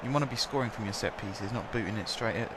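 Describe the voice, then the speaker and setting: A man's voice: fairly low pitch, fast talker, background noise. A young man with a sore throat giving rambling, chatty commentary over a football management game. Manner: chatty, rambling, filler-heavy. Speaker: gaming commentator.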